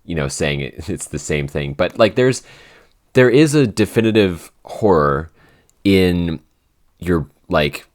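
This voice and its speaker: Very deep-voiced man hosting a podcast. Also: animated, slow pace. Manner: hesitant, many restarts and fillers, laughs easily. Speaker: podcast head writer and editor, co-host. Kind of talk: casual podcast Q&A chat.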